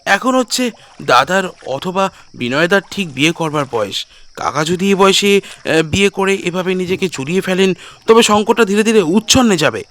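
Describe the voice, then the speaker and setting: A man's voice: sits high, expressive, normal speed, fairly bright tone. A man voicing an earnest, discontented young nephew in his twenties in an audio story, with a firm, protesting manner. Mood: uneasy, displeased. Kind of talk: audio story character dialogue.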